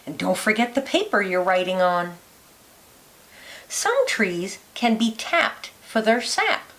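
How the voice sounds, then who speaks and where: A woman reads a children's book aloud in a teacherly way. Her pace is unhurried and her pitch moves in lively rises and falls. She places each phrase deliberately, lilting on key words.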